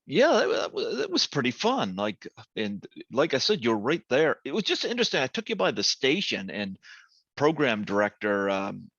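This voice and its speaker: A man's voice: mid-range voice, huge pitch swings, moderate pace, creaky, fairly bright tone, dry recording. A man hosting an interview, talking in a rambling way with lots of fillers. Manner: rambling, many ums and uhs. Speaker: podcast host. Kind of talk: casual interview chat. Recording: dry, muffled lo-fi audio.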